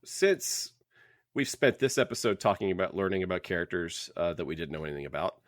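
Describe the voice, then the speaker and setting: Podcast host, male, on the low side, dry close sound, halting and conversational. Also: sing-song, dry recording. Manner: rambling, halting, thinking aloud. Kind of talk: casual book club podcast chat.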